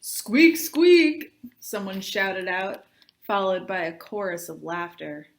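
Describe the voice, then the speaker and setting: A woman reading aloud to children as a librarian, in an expressive, deliberate storybook style. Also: lowish voice, vocal fry. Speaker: youth services librarian reading aloud to tweens.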